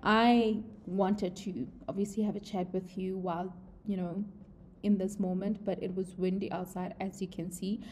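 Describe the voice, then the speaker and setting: A woman's voice: mid-range voice, flat delivery, a little mellow, a little hiss or hum. A woman vlogging outdoors, talking casually and reflectively to her viewers. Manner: slow, reflective, chatty. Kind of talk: casual talk to camera. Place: outdoors, windy.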